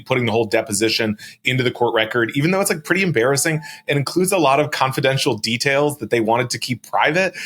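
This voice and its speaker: A man's voice: medium pitch, a bit bright. An American man working as a reporter, explaining a story informally in a panel chat.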